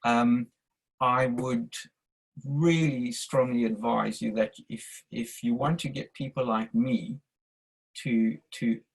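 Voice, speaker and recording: Husky older South African man. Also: medium pitch, fairly even intonation, poor audio. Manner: earnest, measured, advising. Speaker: audience member asking a question. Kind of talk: online discussion statement. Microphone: video call.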